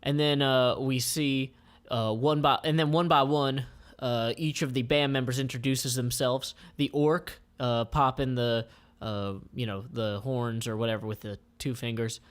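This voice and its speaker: A man informally describing comic panels aloud as their creator, with halting, improvised phrasing. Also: mid-range voice, fairly even intonation, clear, resonant tone.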